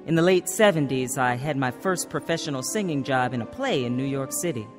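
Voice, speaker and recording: A woman's voice: rumbling low, animated, brisk. An American actress playing an earnest, troubled woman who recounts her life confessionally in a radio drama. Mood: reflective. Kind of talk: radio drama narration and dialogue. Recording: radio drama with music underneath.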